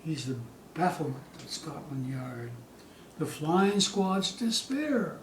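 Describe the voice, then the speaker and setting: A man giving a theatrical poetry recital, dramatic and suspenseful, with drawn-out phrases moving between hushed dips and loud peaks. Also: fairly high pitch, very animated. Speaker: poetry reader. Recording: distant, echoey room with faint hiss.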